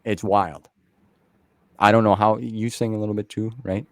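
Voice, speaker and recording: Guy late 30s doing casual interview chat, kinda low voice, dry sound, chummy and rambly. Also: level tone, normal speed, no room sound. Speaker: interviewer and show host.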